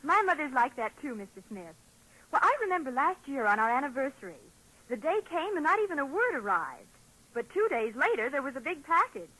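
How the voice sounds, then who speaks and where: A woman's voice: sits high, big pitch swings, brisk, bright, forceful tone, exaggerated voice, rough recording. A woman playing an eager, gushing American housewife in a scripted 1940s radio commercial, heard on a vintage broadcast. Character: enthusiastic American housewife in sponsor advert.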